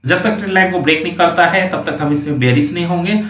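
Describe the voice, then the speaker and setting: A man with a slightly high voice walks through market charts one after another, pointing things out and reading price levels aloud. He sounds set back from the microphone in a roomy, echoing space. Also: fast, fairly bright tone. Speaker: stock market analyst. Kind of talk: screen-recorded chart walkthrough. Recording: roomy, distant mic.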